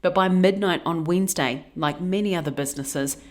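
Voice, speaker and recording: A woman with a New Zealand accent reads a scripted news feature. Her voice sits a little low, and she delivers it as a reporter telling a story. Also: a little fast.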